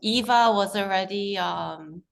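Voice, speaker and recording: A woman's voice: medium pitch, measured pace, gravelly, a little hiss or hum. A woman fashion designer introducing herself on a video-call panel in a plain, earnest way. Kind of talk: conversational self-introduction.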